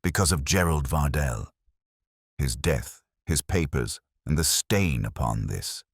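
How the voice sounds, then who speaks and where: A man voicing a heavyset older detective genius, grave, pompous and deliberate, in an audio mystery story. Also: very deep voice, animated, breathy edge, no room sound, studio quality, full character voice. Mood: solemn, accusing.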